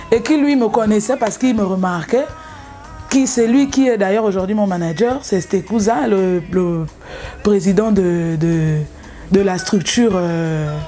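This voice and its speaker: A woman's voice: mid-range voice, warm tone. A young woman from Gabon, a singer, telling her story in an interview, hesitant, with many fillers and restarts. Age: young adult.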